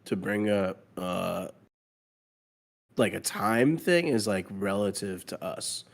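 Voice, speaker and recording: Very deep, gravelly man. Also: slow pace. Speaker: talk show panelist. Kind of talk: casual explanation.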